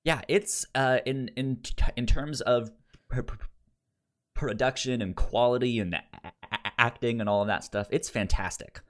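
A man co-hosting a casual podcast chat, talking informally and haltingly, with a stutter that repeats sounds and syllables. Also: medium pitch, fairly bright tone. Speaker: podcast co-host.

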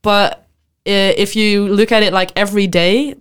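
A woman's voice: mid-range voice, moderate pace, smooth. A woman in her twenties, a podcast co-host and student, talking casually with many fillers and easy laughs. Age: 20s. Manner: laughs easily, filler-heavy, relaxed. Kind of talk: casual chat.

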